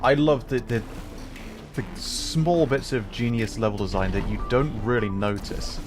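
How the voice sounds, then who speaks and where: A man's voice: medium pitch, measured pace, over music. A man livestreaming a game with casual, enthusiastic commentary, musing and explaining as he goes.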